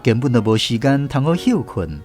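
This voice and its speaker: A man with a Taiwanese accent narrating a scripted children's radio story in an expressive storytelling manner. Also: mid-range voice, moderate pace, faint music behind.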